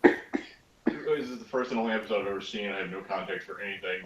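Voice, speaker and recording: A man's voice: lowish voice, fairly quick. A man chatting casually as a guest panelist on a review show. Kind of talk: casual chat. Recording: roomy echo with background noise.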